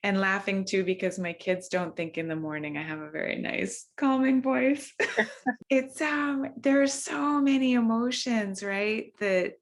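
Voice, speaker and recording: A woman leading a video-call mindfulness session in a gentle, unhurried way, with frequent ums and restarts. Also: mid-range voice. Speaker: workshop facilitator. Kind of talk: gentle guided mindfulness talk.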